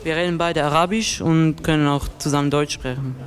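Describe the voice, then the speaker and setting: Man, fairly high voice, earnest plain explainer, faint music underneath. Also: fairly even intonation, brisk. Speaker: interviewee.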